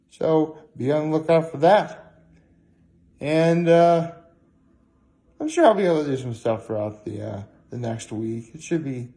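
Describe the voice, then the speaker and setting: A tired man giving a casual, rambling vlog monologue, haltingly circling back and repeating himself. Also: a little high, warm tone. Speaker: daily vlogger.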